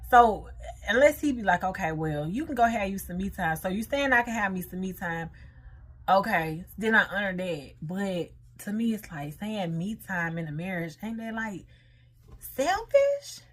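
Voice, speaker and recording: A woman's voice: mid-range voice, big pitch swings, moderate pace. Female American online host, conversational and informal, mixing read-aloud lesson material with her own off-the-cuff commentary. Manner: informal, conversational, asks listeners rhetorical questions. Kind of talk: casual talk-through of read material with personal commentary.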